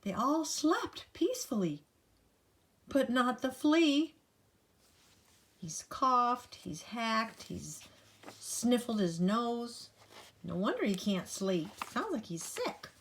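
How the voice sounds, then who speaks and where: A woman's voice: fairly high pitch, very animated, takes it slowly, pauses often. An older woman, a grandmother, reads a picture book aloud to young children in a playful sing-song way, adding chatty asides to the listeners. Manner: playful, sing-song listing with dramatic emphasis; talks directly to young listeners.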